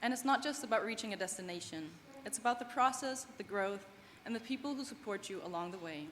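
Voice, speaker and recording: A woman's voice: medium pitch, expressive, fast, a bit bright. A woman from Germany in her twenties, a non-native English speaker, giving an earnest, heartfelt student speech at a graduation ceremony. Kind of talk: prepared commencement speech. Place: large event hall. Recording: long hall reverb, faint background noise.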